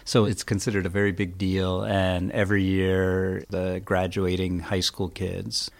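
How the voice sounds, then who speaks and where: A man's voice: low voice, completely flat delivery, a little slow, gravelly. A man speaking as a journalist guest, explaining things in a casual, colloquial and slightly wry way.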